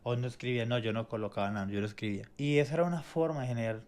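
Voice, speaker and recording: A man's voice: mid-range voice, rapid-fire, a little mellow. Venezuelan-born guy on a faith podcast, earnest testimony, lots of restarts. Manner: earnest, reflective, with many restarts and repetitions. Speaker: co-host.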